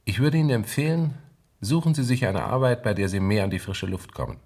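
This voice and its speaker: Gravelly, frank male doctor. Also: mid-range voice, lively intonation, fairly quick, a little mellow. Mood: frank, advising. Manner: matter-of-fact, admonishing. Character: frank, advising male doctor addressing his patient. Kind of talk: 1970s German radio drama acting.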